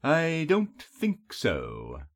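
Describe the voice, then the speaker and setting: A man voicing a sly, taunting elf captor in an audiobook, with a honeyed, throaty voice and a mocking, drawn-out purr. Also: mid-range voice, wildly swooping pitch. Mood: smug, teasing. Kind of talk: audiobook character voice.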